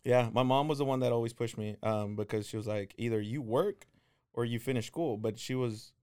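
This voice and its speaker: Smooth-voiced man in his thirties. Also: mid-range voice, a little mellow. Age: 30s. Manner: halting, many restarts and fillers. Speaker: guest singer and DJ. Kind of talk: casual interview chat.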